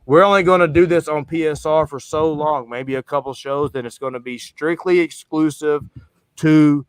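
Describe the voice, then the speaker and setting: A man's voice: on the high side, steady tone, moderate pace, clean tone, dry recording. A man hosting a live sports stream in a loose, slangy, rambling way. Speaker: host. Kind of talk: casual streaming chat.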